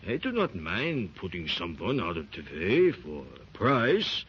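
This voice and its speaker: Mercenary hunter, male: sly greedy type, husky, lively swings in pitch, lo-fi 1930s radio. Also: unhurried, heavily acted. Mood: gruff. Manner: sly, probing, drawling with many fillers. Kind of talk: 1930s radio drama acting. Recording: muffled 1930s radio recording.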